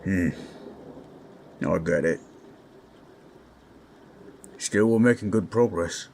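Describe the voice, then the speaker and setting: A man voicing a kindly, bashful orc companion in a roleplay audio drama, talking in a halting, folksy, gently awkward way. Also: sits low, slight background noise, exaggerated voice. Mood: soft, reassuring. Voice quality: husky.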